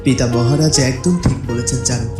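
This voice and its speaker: A man playing a loving, sentimental young prince in a cartoon fairy tale, speaking softly and earnestly. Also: mid-range voice, steady tone, normal speed, lightly acted. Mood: tender. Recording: echoey, loud music underneath.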